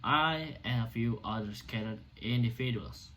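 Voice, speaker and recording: A man playing a defiant, indignant doctor orating to a hostile crowd in an amateur dramatic reading of a stage play, emphatic and sometimes halting. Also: mid-range voice, sharp tone, slight background noise. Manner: emphatic, declamatory, halting in places.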